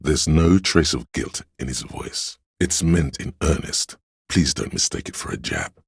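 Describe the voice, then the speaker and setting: A man voicing a brooding, omniscient narrator of weary police life in a detective game, with measured, grave, literary delivery and a gravelly, husky voice. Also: extremely low pitch, very animated, dry recording, studio quality, heavily acted. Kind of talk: dramatic literary scripted narration.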